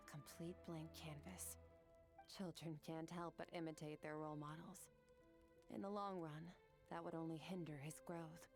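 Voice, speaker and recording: Calm, cold, low-voiced warrior woman played by an actress. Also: close to an everyday voice. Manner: calm, detached, matter-of-fact. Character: cold, composed, ancient female warrior master. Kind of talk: scripted video game dialogue.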